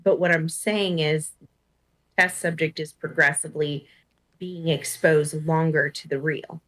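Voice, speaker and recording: A woman's voice: low voice, steady tone, unhurried, a bit bright, low-quality recording. A woman co-hosting a reaction video, giving casual commentary and reasoning things out aloud. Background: faint music.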